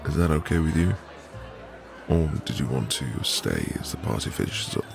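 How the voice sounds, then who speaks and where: A man playing a tender, devoted newlywed husband in a soft, intimate, romantic ASMR roleplay with lingering pauses. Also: a bass voice, animated, vocal fry, crowd noise, heavily acted. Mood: tender, happy. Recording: music behind the voice. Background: music.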